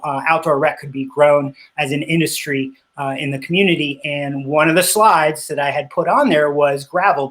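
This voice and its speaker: Middle-aged man, Spanish first language, interview guest; slightly high voice, hesitant and full of fillers. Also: a bit bright. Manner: hesitant, many fillers and restarts. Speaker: interview guest, cycling business owner. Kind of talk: casual interview talk.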